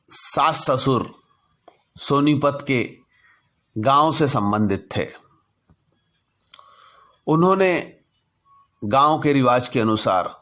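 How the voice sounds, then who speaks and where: Male narrator for anecdotes: medium pitch, unhurried and deliberate, phrase by phrase. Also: fairly bright tone. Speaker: narrator telling his own anecdotes. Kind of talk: storytelling narration.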